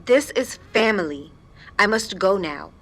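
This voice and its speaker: Unflappable, earnest mother and office worker: bright tone, formal, precise, literal. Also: medium pitch, lively intonation, a little slow. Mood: earnest, resolute. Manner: formal, stiffly precise, avoids contractions. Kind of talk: audio drama acting.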